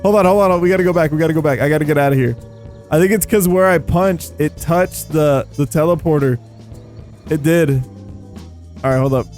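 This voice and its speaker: An excitable man with a somewhat high-pitched voice hosts a casual gameplay video. He talks in loose slang, rambling and doubling back on phrases as he thinks aloud. Light music plays behind his commentary. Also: brisk. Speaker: video game YouTuber and host. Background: background music.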